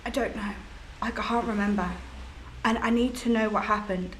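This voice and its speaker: A woman's voice: a little high, steady tone, normal speed, a bit bright, roomy sound, slight background noise, close to an everyday voice. An actress playing the ghost of a young murdered woman, distraught and prone to outbursts.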